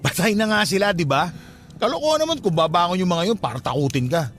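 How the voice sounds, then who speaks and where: A man's voice: high voice, animated, quick pace, a bit bright, a little hiss or hum. An actor playing a brash young man who teases and mocks his cousin in a radio drama. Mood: mocking. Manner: teasing, brash, mocking. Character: cocky, skeptical young funeral-parlor worker teasing his cousin. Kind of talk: radio drama dialogue, casual banter.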